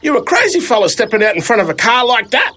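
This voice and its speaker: An Australian man voicing a blunt, shouting male driver in a high, strained voice for a storybook. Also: sing-song, quick pace, full character voice. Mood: annoyed. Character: gruff male driver, blunt but kind.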